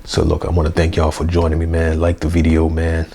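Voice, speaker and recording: Young dude with a hoarse gravelly voice, low and flat, rambling w/ lots of you know man. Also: brisk, a little hiss or hum. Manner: slangy, swears casually. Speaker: wrestling review vlogger. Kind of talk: casual unedited review monologue. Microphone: basic mic, plans a new one.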